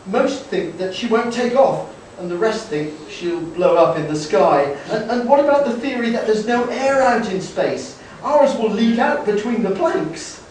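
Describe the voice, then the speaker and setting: Man playing very high-voiced, nervous Victorian assistant. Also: animated, a little slow. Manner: hesitant, nervous. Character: anxious, deferential Victorian male assistant afraid of heights. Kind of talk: live amateur comic radio play. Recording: echoey room, distant mic, faint noise.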